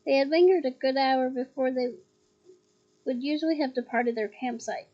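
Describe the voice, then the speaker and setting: A slightly hoarse woman reading a novel aloud at home, breaking off for chatty, giggly asides. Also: high-pitched, moderate pace, muffled recording. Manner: chatty, giggly asides between read passages. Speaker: reader of a novel. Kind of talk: casual read-aloud with asides. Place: at home on her bed.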